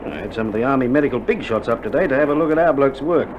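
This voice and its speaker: An actor playing a weary, dry-humoured Australian army doctor in a wartime radio drama, speaking in a colloquial, matter-of-fact way. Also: male voice, medium pitch, expressive, very fast, lightly acted. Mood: weary, wry. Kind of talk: recorded radio drama acting. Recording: dull vintage vinyl recording, loud noise.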